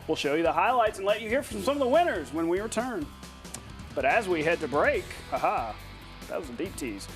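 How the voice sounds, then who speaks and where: A male sports anchor with a notably high-pitched voice calls highlights and drops in casual, jokey asides. Faint music plays underneath his broadcast delivery. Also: sing-song, a little fast.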